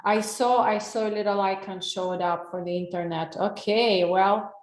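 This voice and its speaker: A woman's voice: medium pitch, fairly even intonation, normal speed, echoey room. A woman from Russia with a Russian accent, giving an earnest, personal wellness webinar over a video call and asking her audience questions. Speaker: wellness coach. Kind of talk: live webinar presentation. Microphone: computer mic on a video call.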